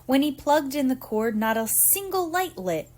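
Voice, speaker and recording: A woman reading a picture book aloud to young children in an expressive, theatrical storytelling manner. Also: high-pitched. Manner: deliberate, theatrical storytelling with acted emphasis. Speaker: preschool teacher reading aloud.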